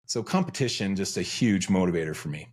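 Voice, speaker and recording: A man's voice: deep voice, animated, fairly quick, breathy edge. A middle-aged man from the United States, a guest on an interview podcast, talking in an earnest, reflective, self-questioning way. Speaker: podcast guest, freelance educator. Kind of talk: conversational interview.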